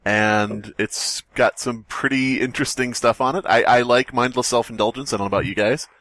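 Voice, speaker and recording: A man's voice: mid-range voice, steady tone, moderate pace, a bit bright, dry recording. A man co-hosting a podcast, chatting casually in a rambling, crude way and laughing often. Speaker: podcast co-host. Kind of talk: casual banter.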